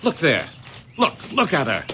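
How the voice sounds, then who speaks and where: A man's voice: a little high, wildly swooping pitch, a little slow. An actor playing an eager, persuasive very young man in a radio drama, with a coaxing, effusive manner. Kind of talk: 1970s radio drama acting. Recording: muffled, noisy old radio broadcast.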